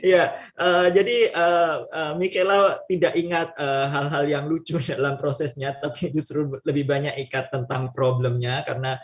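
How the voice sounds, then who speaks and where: A man's voice: fairly high pitch, flat delivery, moderate pace, few pauses. A man, interviewing and summarizing for the audience, talking hesitantly with many fillers. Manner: hesitant, many fillers, long winding questions.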